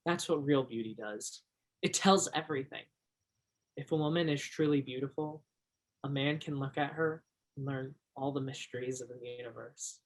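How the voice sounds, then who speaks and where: An actor in a stage play as an earnest, romantic teenage high school boy with a theatrical way of talking. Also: close to an everyday voice. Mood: smitten.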